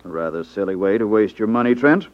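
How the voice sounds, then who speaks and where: An actor playing a smug, condescending racketeer boss in a suave, dry manner in a 1950s radio drama. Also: male voice, fairly low pitch, expressive, fast, natural voice. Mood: smug, sardonic. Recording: tinny band-limited 1950s radio recording.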